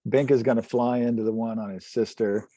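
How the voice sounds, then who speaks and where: A man's voice: mid-range voice, steady tone, brisk, dark tone, no echo. A man bantering casually as a player in a tabletop game.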